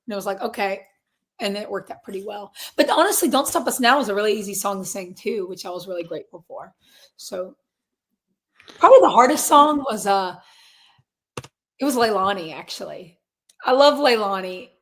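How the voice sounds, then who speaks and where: A woman in her twenties with a raspy, hoarse voice, chatting casually as a guest musician from a closet at her grandparents' house. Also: medium pitch, lively intonation, a little fast, pauses often, fairly bright tone. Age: 20s. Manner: upbeat, laughs often, many fillers. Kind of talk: casual interview chat.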